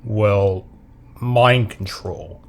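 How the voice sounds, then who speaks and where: A man plays a serious television newsroom anchor in an audio drama. His voice sits on the low side. He delivers scripted news formally and deliberately. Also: creaky, full character voice.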